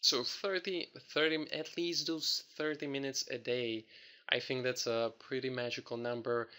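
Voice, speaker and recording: A young man talking casually to his viewers about learning languages, relaxed and chatty with lots of fillers. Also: mid-range voice, moderate pace. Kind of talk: casual talk to camera.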